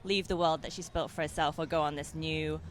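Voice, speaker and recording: Brief young English woman director, lower voice, hesitant interviewee, faint noise behind. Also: fairly even intonation, fairly quick. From England. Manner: hesitant, many fillers and restarts. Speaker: film director. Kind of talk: interview answers.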